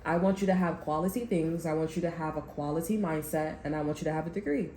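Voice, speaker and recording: Low-pitched woman, tarot reader, blunt rambling monologue, roomy echo. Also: steady tone, fast, dark tone. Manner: rambling, blunt, swears when heated. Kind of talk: casual tarot reading monologue. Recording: echoey room with faint background noise.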